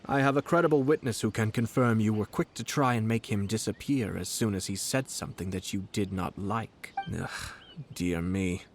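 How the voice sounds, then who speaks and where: An actor playing a composed, shrewd young nobleman in a video game, speaking eloquently with measured, subtly mocking courtesy. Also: lowish voice, slight background noise, close to an everyday voice. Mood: cold reproach. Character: composed, shrewd young noble lord, politely cutting commissioner.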